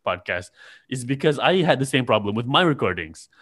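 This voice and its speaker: A man's voice: medium pitch, sing-song, fairly quick, fairly bright tone, slightly rough. Teasing man ribbing his co-host. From Malaysia. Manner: teasing, mock-exasperated ribbing. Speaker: podcast co-host. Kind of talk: casual chat.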